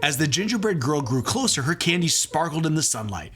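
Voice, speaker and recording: Animated man reading a storybook aloud. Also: fairly high pitch, a little fast, soft background music. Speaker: comedian reading children's books.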